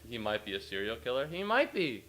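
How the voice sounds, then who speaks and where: A man's voice: medium pitch, sing-song, a little fast, pushed and bright, slight background noise. A young American man, a little sniffly after a cold, chatting in a rambling, self-mocking way to his viewers during a casual game livestream. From United States. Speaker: game streamer. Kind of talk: casual livestream chat while gaming.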